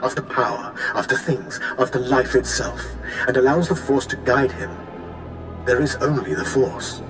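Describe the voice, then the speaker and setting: An actor playing a serene ancient Sith sage speaking as a hologram in a video game, measured and solemn, with an echoing effect. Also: male voice, mid-range voice. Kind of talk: video game cutscene acting. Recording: echoing voice over game music.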